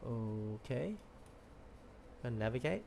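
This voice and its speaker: A man's voice: medium pitch, expressive, takes it slowly, gravelly, a little mellow, slight background noise. A man doing casual gameplay commentary, thinking aloud with brief reactions. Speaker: game streamer. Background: game music.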